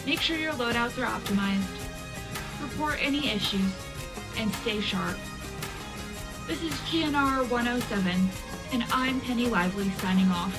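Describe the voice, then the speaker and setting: A woman playing a wartime radio news presenter, reading a scripted military bulletin in a measured, formal announcer delivery. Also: a little high, lively intonation. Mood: composed, official. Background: loud music bed.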